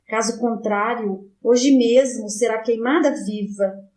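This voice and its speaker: A woman's voice: on the high side, takes it slowly, darkish tone. A woman reading as a stern old cardinal. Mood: demanding. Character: stern older male English cardinal, coaxing and threatening. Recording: roomy echo.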